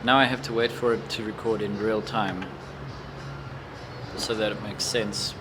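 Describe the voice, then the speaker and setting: A young adult man talking casually to himself through a demonstration, thinking aloud in short, halting fragments. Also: fairly low pitch, flat delivery, background noise. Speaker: amateur vlogger.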